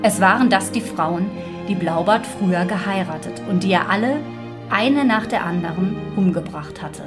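A woman's voice: medium pitch, normal speed. Woman narrating a fairy tale over music.

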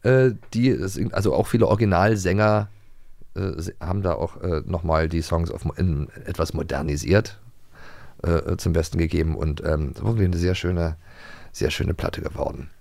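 A man's voice: low voice, steady tone, moderate pace, creaky, a little mellow, no room sound. A man from former East Germany co-hosting a podcast in casual chat, who talks hesitantly and keeps searching for words. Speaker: podcast co-host.

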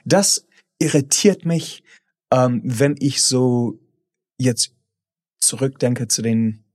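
A man's voice: medium pitch, takes it slowly, a little mellow, no echo. Blunt man co-hosting a podcast, dry sound. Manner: blunt, repeats words when restarting. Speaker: podcast co-host. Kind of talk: casual chat.

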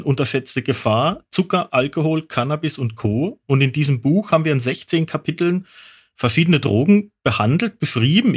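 A man's voice: on the high side, husky. A middle-aged man talking casually as an interview guest, explaining things and telling anecdotes like an expert. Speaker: guest, toxicologist.

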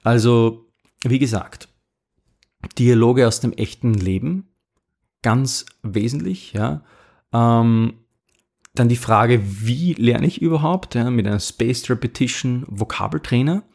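A man's voice: mid-range voice, takes it slowly, vocal fry, a little mellow. A man hosting a language-learning podcast, talking informally to the listener with many fillers and restarts. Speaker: podcast host. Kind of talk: casual podcast monologue with sponsor read.

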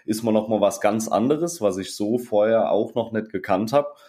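A man co-hosts a podcast with a fairly low voice. He talks in a hesitant, halting way, stopping often to search for words. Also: warm tone. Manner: hesitant, halting, many fillers. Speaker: podcast co-host. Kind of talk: casual podcast interview.

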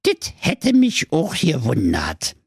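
A man voicing a grumpy, sly evil dwarf who runs a gas station, in a very high, grumbling voice with Berlin slang. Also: wildly swooping pitch, slow pace, husky, no room sound, clean studio sound, full character voice.

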